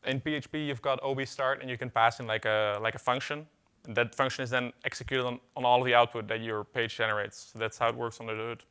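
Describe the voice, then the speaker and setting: Young dutch guy doing a tech talk, bright tone, casual, lots of uhs and dry jokes. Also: medium pitch, fairly even intonation, fast talker, smooth. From Netherlands. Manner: casual, filler-heavy, wry asides. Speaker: conference speaker. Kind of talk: technical conference talk. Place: conference hall.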